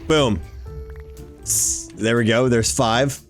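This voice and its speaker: A man's voice: medium pitch, takes it slowly, soft background music. A man giving casual, exclamation-filled gameplay commentary, often talking to himself as he plays. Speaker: gaming YouTuber hosting a Minecraft series.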